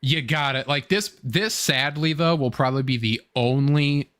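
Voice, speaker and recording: A young adult man with an average-pitched voice hosts a casual online fan show. He rambles through his commentary, starting and restarting phrases and pausing often as he works out his point. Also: a bit bright. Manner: rambling, halting, fragmented phrasing.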